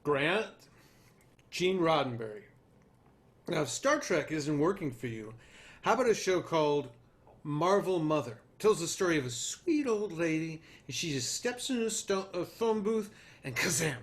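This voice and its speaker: A man's voice: expressive, normal speed, close to an everyday voice. An actor playing a brash, volatile, profane male TV producer in a screenplay table read, glib one moment and bitter the next. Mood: glib, joking. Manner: glib pitching, swearing when frustrated.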